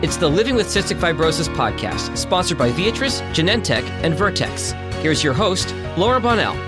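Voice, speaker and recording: A man's voice: fairly high pitch, big pitch swings, moderate pace. A man reading a scripted podcast intro and disclaimer in a polished announcer delivery. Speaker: show announcer. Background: loud guitar music.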